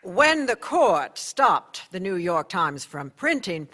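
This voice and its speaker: A man narrating in the first person as a newspaper insider, telling the story in a measured, conversational way for a radio drama. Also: high voice, very animated, sharp tone.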